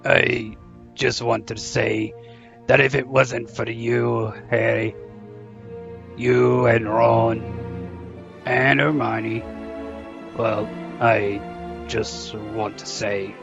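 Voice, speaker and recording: A man acts a huge, sentimental, humble fellow in a fantasy audio drama. The voice is gravelly and slow, halting with feeling, on a muffled track over soft music. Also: medium pitch, level tone, heavily acted. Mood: moved, grateful. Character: big, gentle, bashful, sentimental giant of a man. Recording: dull, lo-fi voice track.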